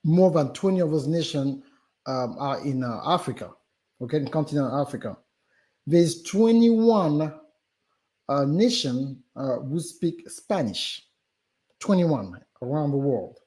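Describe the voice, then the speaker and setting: A man's voice: a little high, lively intonation, a little slow, dark tone. A man with a French accent, a business guest on a live stream interview, talking animatedly with many fillers and restarts. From Gabon.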